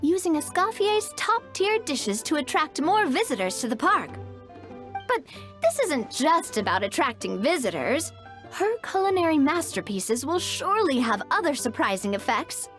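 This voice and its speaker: High-pitched, genteel young lady president. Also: big pitch swings, moderate pace, a bit bright. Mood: gracious, persuasive. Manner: polite, eloquent, enterprising. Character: poised, genteel young female business president. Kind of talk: video game voice acting. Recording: music behind.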